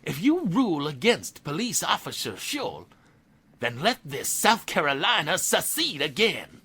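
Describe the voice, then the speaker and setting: A man playing a pompous, bigoted Southern defense attorney with a husky voice, orating in a courtroom audio drama. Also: a little high, wildly swooping pitch, measured pace, sharp tone, heavily acted. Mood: indignant, pompous. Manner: pompous, rhetorical, goading.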